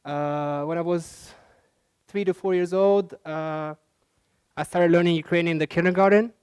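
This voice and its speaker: A man's voice: on the high side, steady tone, moderate pace, darkish tone, clean tone. A young man from Central Asia, a native Russian speaker, giving a chatty conference presentation with many fillers and restarts. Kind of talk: presentation talk.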